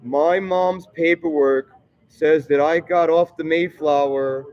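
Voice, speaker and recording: A man's voice: fairly high pitch, fairly even intonation, slow pace, muffled recording. A man voicing a smug, self-important man boasting of his old ancestry.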